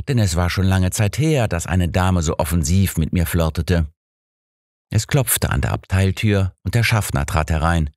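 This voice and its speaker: A man's voice: low voice, a little mellow, no echo, clean studio sound. A man reading a scripted first-person story as an audiobook narrator.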